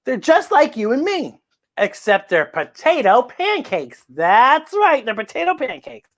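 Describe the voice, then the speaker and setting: Children's read-aloud host, female, very animated delivery, effusive, repeats key phrases. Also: high-pitched, unhurried. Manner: exclamatory, gushing, repeats phrases. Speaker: children's story time host.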